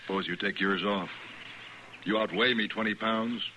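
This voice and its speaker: A man's voice: lowish voice, fairly even intonation, moderate pace, sharp tone. A male actor playing a principled, bookish sheep rancher, articulate and controlled, in an old-time radio western. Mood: firm, defiant. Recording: faintly noisy old radio recording.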